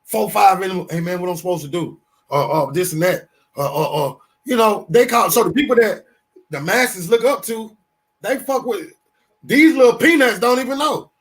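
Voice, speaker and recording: A man in his fifties with a fairly high voice, talking loosely on a podcast. He stays animated and profane, stumbles over restarts, and keeps circling back into his stories. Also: moderate pace, rough recording. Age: 50s. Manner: animated, swearing, storytelling with restarts. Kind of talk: casual podcast talk.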